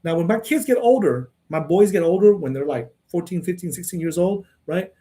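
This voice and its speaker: A man's voice: sits high, animated, fairly quick, mellow. A man who grew up in Texas, talking casually in a rambling monologue and answering viewers' questions, often repeating words and tagging his sentences with "right?" and "okay?".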